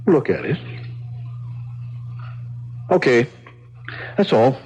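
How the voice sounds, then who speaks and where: A man's voice: mid-range voice, lively intonation, extremely slow, darkish tone, a little hiss or hum. An actor playing a brusque, callous male jailer in an old radio drama, curt and matter-of-fact. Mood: brusque, impatient. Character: brusque, callous male jailer, coolly indifferent to his prisoner. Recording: dull, lo-fi old radio recording.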